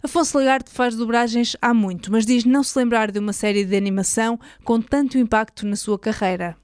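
A woman's voice: fairly high pitch, steady tone, fairly quick, darkish tone, smooth, no echo, studio quality. A woman with a European Portuguese accent reading scripted journalistic narration as a podcast host.

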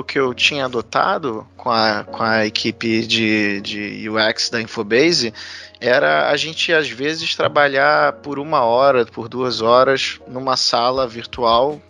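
A man in a casual panel discussion, speaking haltingly and repeating words as he thinks. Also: medium pitch, steady tone, creaky, sharp tone, soft background music. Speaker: panel participant.

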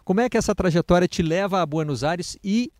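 A man from Minas Gerais with a Zona da Mata accent, interviewing in a calm, measured way. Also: high-pitched, no room sound, studio quality. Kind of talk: interview questions and anecdotes.

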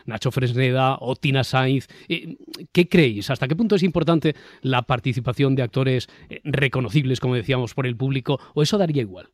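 A man's voice: medium pitch, fairly quick, no echo. Castilian male host in a dry studio. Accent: Castilian Spanish. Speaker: host, journalist moderating a panel. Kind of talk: radio-style panel talk.

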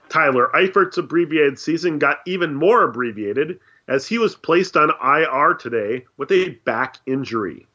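A man co-hosting a podcast, nursing a head cold that roughens his voice. He delivers scripted sports news with deadpan sarcasm, and the recording sounds dull and lo-fi. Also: on the high side. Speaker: podcast co-host. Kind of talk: scripted news segment with dry jokes.

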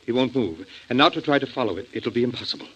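A man's voice: medium pitch, expressive, quick pace, fairly bright tone, a little hiss or hum, close to an everyday voice. An actor playing a worried, well-spoken father, speaking formally and carefully in 1940s radio drama style. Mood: worried, measured. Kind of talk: 1940s American radio acting. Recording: dull, muffled vintage radio recording.

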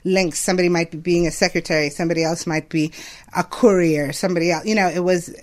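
Interview guest, late 30s, from Zambia: earnest, reflective, halting delivery. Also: mid-range voice, steady tone. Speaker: interview guest, foundation representative.

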